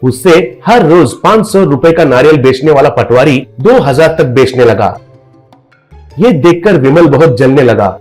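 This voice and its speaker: A man narrating a scripted cartoon story in a steady storytelling manner. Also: a little high, a little slow, soft background music.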